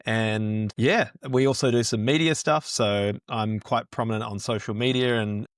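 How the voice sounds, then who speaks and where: A man's voice: on the low side, normal speed, dry recording. Easygoing New Zealand man, dry sound. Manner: relaxed, easygoing, with fillers and restarts. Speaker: guest, business owner. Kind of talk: casual interview chat.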